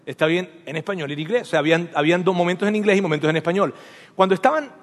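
A man's voice: high voice, racing, big-room sound. A man from Venezuela, preaching a sermon with humorous stories and asking his audience questions.

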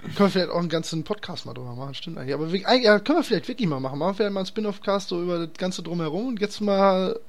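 A man's voice: sits high, animated, racing. A man hosting a casual podcast chat, talking in an enthusiastic, slangy and emphatic way. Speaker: podcast host.

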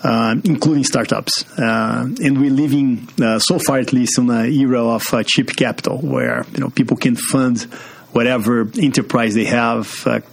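Brazilian accent, man, gravelly, business interview guest, hesitant, many fillers. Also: medium pitch, fairly even intonation, moderate pace. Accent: Brazilian Portuguese. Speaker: guest, marketing executive.

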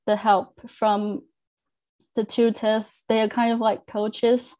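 Earnest young woman from China, warm tone. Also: fairly high pitch, flat delivery, slow. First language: Chinese. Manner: thoughtful, earnest, with frequent restarts. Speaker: academic coach, guest. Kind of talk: podcast interview.

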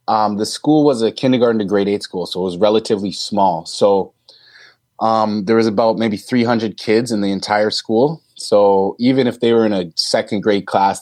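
A man in his thirties, a teacher, answering interview questions in a deep voice, thoughtful and hesitant with many ums and restarts. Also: darkish tone, dry recording. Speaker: teacher guest.

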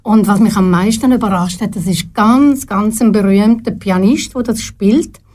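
An older woman with a Swiss German accent, a guest in a radio studio interview, who talks thoughtfully and pauses often to search for words. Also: mid-range voice, darkish tone. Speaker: guest, retired TV presenter.

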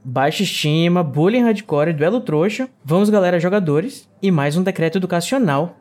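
A man's voice: sits high, expressive, moderate pace, darkish tone, slight background noise. A man hosting a podcast in a playful, teasing way. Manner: playful, teasing, with jokes and laughs. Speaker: podcast host.

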